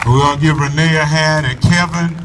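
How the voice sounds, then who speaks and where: A man giving an earnest, folksy address to a crowd at an outdoor rally in a park, speaking loudly into a microphone and urging people to come closer. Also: fairly high pitch, fairly even intonation, brisk. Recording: voice from far off the mic, faint background noise.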